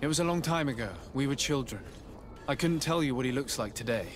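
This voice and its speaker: Game hero role, male actor: polite, composed young-noble diplomat, mid-pitched, audible background noise. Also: expressive, a little fast, close to an everyday voice. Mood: sympathetic, thoughtful. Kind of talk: video game cutscene acting.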